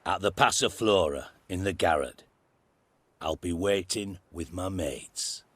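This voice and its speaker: A self-assured tough in a fantasy game. His voice is low and husky. He speaks very slowly and sounds sure of himself. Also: a bit bright, dry recording. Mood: confident. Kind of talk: video game voice acting.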